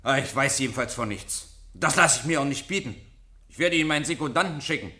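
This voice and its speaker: A man's voice: mid-range voice, animated, fast, pushed and bright. An actor playing a cheeky, indignant young male student in a radio drama, insolent and mock-pompous. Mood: indignant, defiant. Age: young adult. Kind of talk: German radio drama acting.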